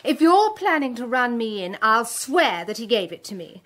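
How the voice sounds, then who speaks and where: Radio play: a sardonic blonde crook, slightly high voice. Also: female voice, big pitch swings, normal speed, a bit bright. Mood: scornful, brazen. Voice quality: bold, sardonic delivery. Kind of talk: radio drama acting.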